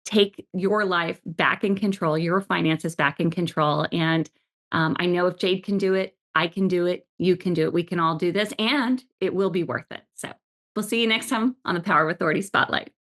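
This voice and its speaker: A woman's voice: lowish voice, moderate pace, fairly bright tone. A woman hosting a podcast interview, gushing with praise and often restarting her sentences in enthusiastic chat. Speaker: podcast host.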